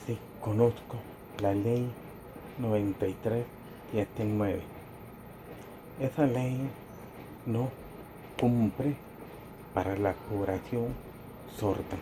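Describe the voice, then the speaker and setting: A man's voice: on the low side, steady tone, extremely slow, long pauses. A man answering as an interviewee in short, separated phrases. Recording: clearly noisy background.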